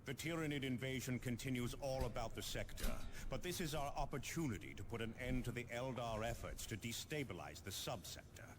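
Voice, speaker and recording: An actor playing a dutiful veteran space marine sergeant in a video game, speaking in a formal, stern, measured way. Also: male voice, medium pitch, animated, background noise, close to an everyday voice. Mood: briefing, serious. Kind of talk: scripted video game acting.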